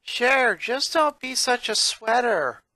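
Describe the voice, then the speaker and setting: A man's voice: very high-pitched, lively intonation, slow, sharp tone, dry recording, pitched above the performer's own voice, heavily acted. A man voicing a young comedienne girl who is playful, teasing and fond of puns. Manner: playful, punning.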